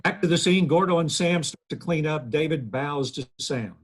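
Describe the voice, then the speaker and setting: Husky man reading stage directions. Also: a little high, moderate pace. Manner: plain, steady read of scene directions.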